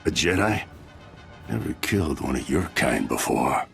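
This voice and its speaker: An actor playing a ruthless pirate thug in a video game, gravelly and mocking, amused by killing. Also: male voice, rumbling low, sing-song, moderate pace, full character voice. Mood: menacing amusement. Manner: menacing, drawling, mocking. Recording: music behind the voice.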